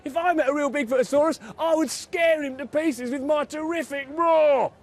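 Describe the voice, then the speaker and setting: A man voicing a small, boisterous young male dinosaur in an excitable, exclaiming way for a bedtime story. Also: extremely high pitch, normal speed, voice pushed up. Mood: boastful.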